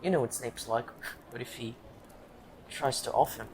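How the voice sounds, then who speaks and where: A man's voice: mid-range voice, unhurried, creaky, darkish tone, slight background noise. A man playing a casual, suspicious teenage schoolboy wizard in a fan audio drama. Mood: uneasy, suspicious.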